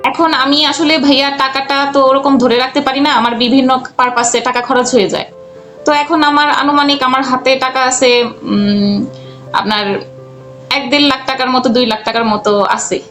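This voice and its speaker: A woman answering interview questions conversationally, halting and restarting her phrases as she explains. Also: high voice, steady tone, fairly bright tone, soft background music. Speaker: guest, freelance cartoon voice artist and animator.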